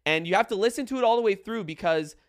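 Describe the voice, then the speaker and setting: A man's voice: high voice, quick pace. A young man hosting a podcast in casual chat, talking loosely and repeating himself into a studio mic. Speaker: podcast host.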